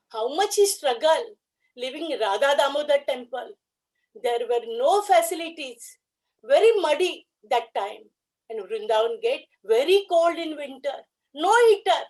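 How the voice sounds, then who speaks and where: A woman giving an earnest devotional tribute talk, speaking in short phrases with pauses. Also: high voice, low-quality recording.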